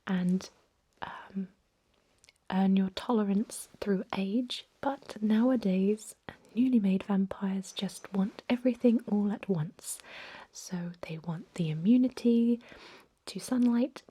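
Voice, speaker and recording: A woman playing a thousand-year-old vampire medic in an ASMR role-play, whispering softly in a gentle, courteous, reassuring way with a hesitant, explanatory manner. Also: mid-range voice, slow. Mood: mildly disapproving. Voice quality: soft, breathy whisper. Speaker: emergency room clinician in a role-play.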